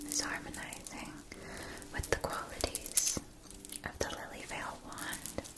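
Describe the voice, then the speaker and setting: A woman performing a whispered ASMR roleplay as a gentle, attentive wand seller at a witch school, breathy, soothing and deliberate. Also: lightly acted. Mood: soothing, instructive. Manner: slow, soothing, deliberate, with hushed repetitions.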